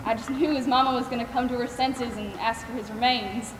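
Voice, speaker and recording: Woman acting a kind Southern caregiver, high voice, tender folksy storytelling, stage play, echoey distant hall. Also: fairly even intonation, brisk, close to an everyday voice. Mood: warm, reflective. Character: kind, devout Southern woman caring for the dying. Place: large theater stage, recorded by far camera. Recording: echoey theater stage from far off, noisy.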